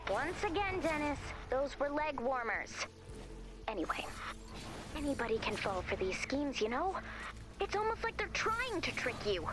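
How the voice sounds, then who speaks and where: A woman's voice: high-pitched, very animated, moderate pace, over music. A woman playing a wry, mischievous, self-dramatizing young woman, droll and theatrical, in comedic game dialogue. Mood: rueful. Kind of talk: comedic animated game storytelling.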